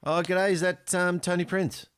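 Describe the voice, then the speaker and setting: A man's voice: high voice, level tone, normal speed, clean tone. Man on a friendly phone call. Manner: friendly, prompting, brief replies. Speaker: caller interviewing relatives. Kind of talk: casual phone chat.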